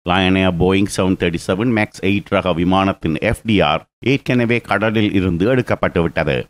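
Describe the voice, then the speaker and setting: A man reading scripted news explainer narration in a measured, explanatory way. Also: low voice.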